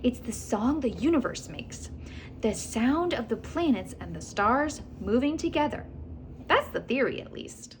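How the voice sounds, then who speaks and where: A woman's voice: a little high, lively intonation, measured pace, a little hiss or hum, voice pushed up. A woman voicing an earnest, bookish storybook boy who explains things in a matter-of-fact way. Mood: earnest, explaining.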